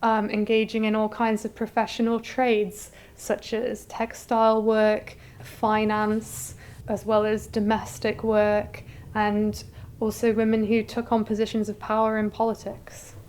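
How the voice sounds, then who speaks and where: Smooth-voiced woman explaining in an interview. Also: medium pitch, completely flat delivery, unhurried, a little mellow. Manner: articulate, explanatory. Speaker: curator being interviewed.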